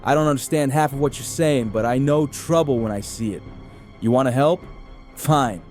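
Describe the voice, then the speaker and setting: A man's voice: medium pitch, moderate pace, darkish tone, faint music behind, pitched above the performer's own voice, close to an everyday voice. A man voicing a tough, impatient male cop who barks and growls sarcastically. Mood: gruff. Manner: barking, sarcastic.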